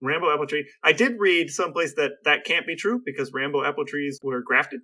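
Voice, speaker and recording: A man's voice: on the high side, brisk, bright, forceful tone. A man co-hosting a casual podcast chat, explaining facts at length with dry jokes. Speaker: podcast co-host.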